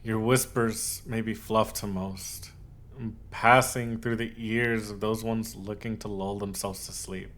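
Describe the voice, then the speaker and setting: A man playing an obsessive, possessive, lovesick yandere in a scripted ASMR roleplay, speaking haltingly with cooed endearments. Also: lowish voice, little pitch movement. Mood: wistful, intimate. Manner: halting, cooing endearments, swings from hushed to insistent.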